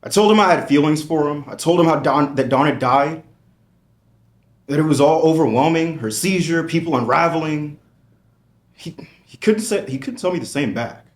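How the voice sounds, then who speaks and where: A man's voice: a little high, expressive, moderate pace, close to an everyday voice. A man playing a grieving, defensive character who turns prickly, in a screenplay table read. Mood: grieving, confessional. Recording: roomy echoing table-read recording.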